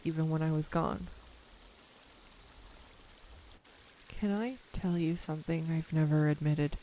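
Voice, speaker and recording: A woman's voice: low voice, mellow, slight background noise. A young woman acting a tender, nervous childhood friend come home, in an intimate, hesitant audio roleplay monologue. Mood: tender, confiding. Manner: hesitant, reflective, confiding, with pauses. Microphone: close USB desktop microphone.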